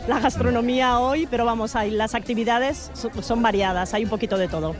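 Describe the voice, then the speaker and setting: A woman's voice: fairly high pitch, brisk, over music. A woman teacher chatting eagerly in an interview and readily agreeing. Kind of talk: interview chat.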